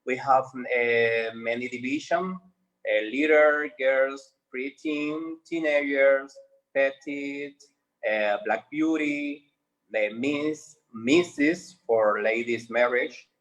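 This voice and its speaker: A man with a Dominican Spanish accent speaks slow, halting English as a pageant organizer answering an interviewer. He pauses often to search for words. His voice sits at a middle pitch and comes through muffled, lo-fi audio. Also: a bit bright. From Dominican Republic.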